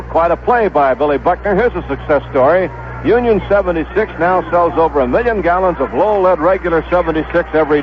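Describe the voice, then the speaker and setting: American guy doing baseball play by play, slightly high voice, dull old am radio sound. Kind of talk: live radio sports commentary. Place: stadium broadcast booth. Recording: dull, noisy 1970s AM radio broadcast.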